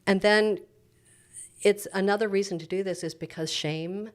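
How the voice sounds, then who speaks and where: A woman's voice: mid-range voice, fairly even intonation, normal speed. A middle-aged woman speaking as a church preacher in an interview, explaining things in an earnest, reflective, teacherly way.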